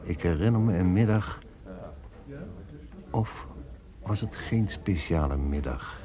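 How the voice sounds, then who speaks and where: An actor playing a weary, brooding businessman who narrates wartime memories in a reflective, measured way for a radio drama. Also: deep voice, animated, highs cut off, crowd noise. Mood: brooding, bitter.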